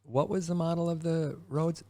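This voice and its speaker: A man's voice: a little high, steady tone, moderate pace, darkish tone. A man from Wisconsin hosting a podcast, curious and asking long strings of questions in casual chat. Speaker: podcast host.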